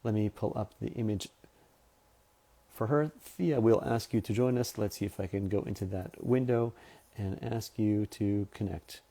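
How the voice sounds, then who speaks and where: Male host with a New York accent, low-ish and dry, rambling with frequent restarts, video call. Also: normal speed, mellow, no echo. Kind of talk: live online interview.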